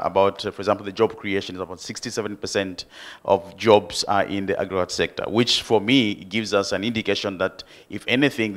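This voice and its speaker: A man's voice: low-pitched, a bit bright. A man from Zambia asking an earnest question from the floor at a conference, with many ums. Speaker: audience member asking a question. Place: conference hall.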